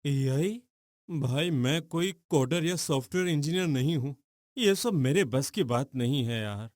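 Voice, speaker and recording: A man's voice: fairly high pitch, expressive, a little slow, a little mellow, dry recording, clean studio sound. An actor playing a dejected, earnest poor young man in a cartoon story, downcast and hesitant. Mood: doubtful.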